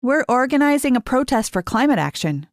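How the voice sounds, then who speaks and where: A woman's voice: high-pitched, sing-song, fairly quick, dry recording, studio quality, pitched above the performer's own voice. A woman voicing an earnest, upbeat young student activist in story dialogue, with an encouraging lilt. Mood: earnest, hopeful. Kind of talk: audiobook character dialogue.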